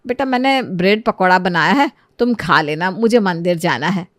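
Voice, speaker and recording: A woman voicing a kind, motherly middle-aged aunty with a plaintive manner in an animated moral story. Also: on the low side, normal speed, no echo, clean studio sound, natural voice. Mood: warm, obliging.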